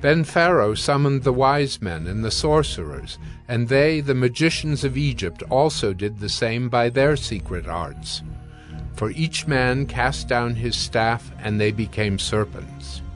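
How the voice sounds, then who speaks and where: A man narrating scripture from a script in a measured, formal storytelling manner. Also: medium pitch, lively intonation, soft background music.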